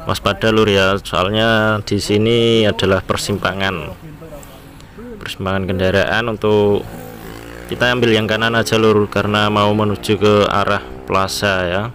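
A man with an East Javanese accent giving casual, conversational driving commentary to his viewers as a car vlogger. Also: fairly low pitch. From Bojonegoro area, East Java, Indonesia. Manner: conversational, often addressing viewers as friends. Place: inside a moving car on town roads. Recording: steady vehicle and road noise. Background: car and traffic noise.

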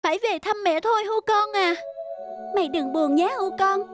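High-voiced, earnest little boy. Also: fairly quick, soft background music. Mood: gentle. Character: kind, earnest young peasant boy. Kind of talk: animated folk tale acting.

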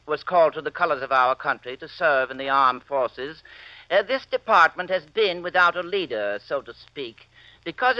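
An actor playing a fussy, ineffectual office manager, formal and hesitant, in a vintage radio comedy. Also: male voice, on the high side, dry recording. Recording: muffled, lo-fi old radio recording.

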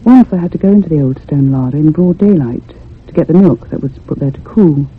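An actress playing a thoughtful, troubled young woman in a radio ghost drama, speaking in a grave, measured, haunted way. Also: low-pitched, lively intonation, slightly rough. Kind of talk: old-time radio drama narration. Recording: muffled phone-like radio recording with hum.

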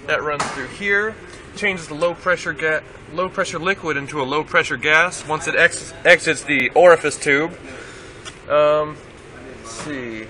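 A man, giving an informal, halting hands-on technical walkthrough as an automotive student. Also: on the high side, bright, forceful tone. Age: 20s. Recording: noisy amateur camera audio, vehicle noise.

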